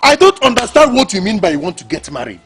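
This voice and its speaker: An actor with a Nigerian accent playing a disappointed friend who scolds and lectures the widower. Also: male voice, medium pitch, measured pace, soft background music, close to an everyday voice. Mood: scolding. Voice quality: raspy, scolding.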